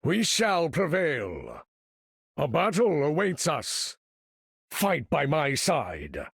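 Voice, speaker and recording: A man's voice: on the high side, huge pitch swings, slow, gravelly, a bit bright, slightly rough, no room sound, clean studio sound, full character voice. A man voicing an imperious older supervillain in a video game, commanding battle with pompous, theatrical, formal diction.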